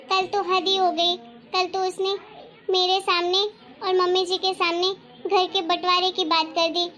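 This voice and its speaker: A woman voicing a worried, soft-hearted housewife and mother in a cartoon family drama, talking in a fretful, plaintive way. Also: extremely high pitch, little pitch movement, measured pace, muffled recording, exaggerated voice. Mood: worried, upset.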